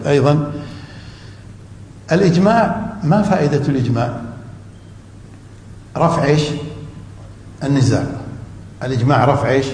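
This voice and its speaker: A man's voice: mid-range voice, a little mellow. Man lecturing in an echoey hall. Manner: quizzes students with prompts, repeats key terms. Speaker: religious teacher. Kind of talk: classroom lecture. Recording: echoey hall with faint room noise.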